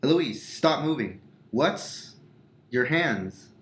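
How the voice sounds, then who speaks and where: A man playing a weary, exasperated husband with gruff, halting bluster in a radio parody of mid-century stage drama. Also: medium pitch, lively intonation, fairly bright tone. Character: weary, blustering husband home from a bad workday. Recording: echoey room with noisy ambience.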